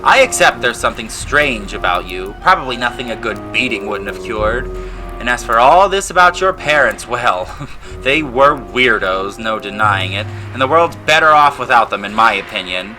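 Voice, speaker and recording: Blustering middle-aged bully, voiced by a man, loud, pompous snarl, contemptuous, clear music bed beneath his audio drama lines. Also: mid-range voice, lively intonation, normal speed, lightly acted, poor audio. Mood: snarling contempt.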